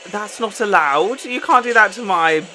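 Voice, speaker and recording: A man's voice: sits high, lively intonation, moderate pace, over music. A young man giving casual, enthusiastic reaction commentary as host, recorded in a small bedroom. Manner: enthusiastic, gushing. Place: small bedroom, windows shut.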